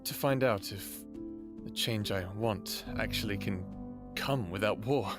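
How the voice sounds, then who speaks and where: A man performs an earnest, lovestruck human in an audio drama. He speaks very slowly and haltingly, with a tender sincerity. Music plays clearly behind his voice. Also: on the low side, lightly acted. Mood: earnest, hesitant. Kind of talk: first-person audio drama acting and narration.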